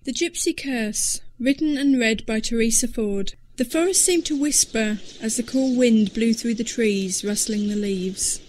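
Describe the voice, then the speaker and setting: Female story narrator, pitched a little high, scripted audiobook read, slight background noise. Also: a little slow, few pauses, darkish tone.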